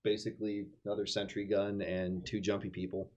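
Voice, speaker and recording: A man's voice: fairly low pitch, level tone, fairly quick, darkish tone, lo-fi sound. A man playing a tabletop role-playing game with casual, wry, sarcastic banter.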